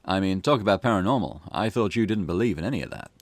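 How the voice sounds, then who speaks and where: A man's voice: deep voice, expressive, fast, no echo. A man voicing an eager, cheeky junior police detective in a visual novel, chatty and teasing. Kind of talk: acted visual novel dialogue. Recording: music behind the voice.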